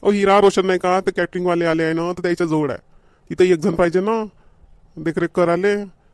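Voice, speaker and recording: Guy doing naggy village dad in a cartoon, rural maharashtrian accent, kinda high voice, dry sound. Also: steady tone, brisk, clear, resonant tone, dry recording, close to an everyday voice. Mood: impatient, hurried. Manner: nagging, repetitive urging. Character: fussy, hurrying middle-aged village father. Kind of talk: homemade cartoon comedy dialogue.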